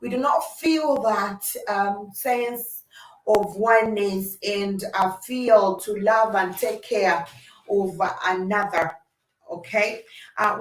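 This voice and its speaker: A woman's voice: medium pitch, recorded from a distance. Earnest woman hosting a livestream. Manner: earnest, speaking directly to viewers. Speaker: livestream host. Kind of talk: casual livestream talk. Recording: roomy sound from away from the mic.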